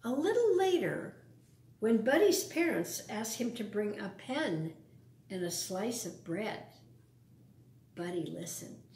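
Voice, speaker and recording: A woman reading a picture book aloud to children, expressively, pausing for simple explanations and questions to her young listeners. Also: medium pitch, big pitch swings, darkish tone. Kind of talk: read-aloud storytime with asides to young listeners. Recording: roomy, echoing sound.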